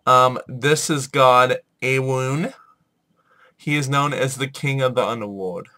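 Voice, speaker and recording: Man, head cold, sore throat, informal video host, bright tone. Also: medium pitch, takes it slowly. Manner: informal, apologetic and chatty. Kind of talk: casual explanatory video.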